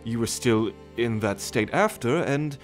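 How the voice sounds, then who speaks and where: A man acting in an ASMR audio roleplay as a doting royal caretaker, hesitant and stammering as he gently reassures. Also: mid-range voice, animated, measured pace, close to an everyday voice. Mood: concerned, careful. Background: orchestral string music.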